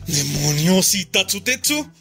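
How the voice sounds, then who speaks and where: Shrill, theatrical teenage rival. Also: male voice, sing-song, measured pace, bright, forceful tone, slightly rough, soft background music, full character voice. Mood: frantic. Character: smug, jealous, theatrical teenage boy rival.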